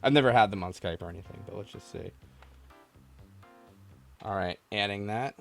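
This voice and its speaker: A man's voice: fairly low pitch, normal speed, gravelly, a bit bright, faint music behind. A man hosting a casual call-in gaming stream, bantering and mock-arguing with callers and swearing casually. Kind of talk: casual banter with callers about video games.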